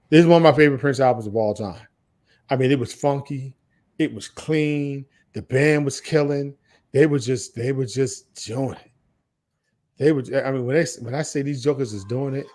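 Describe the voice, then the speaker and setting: A man's voice: medium pitch, moderate pace, a little mellow. A man hosting a podcast, talking casually and enthusiastically about music in emphatic, repetitive, slangy phrases. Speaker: podcast host.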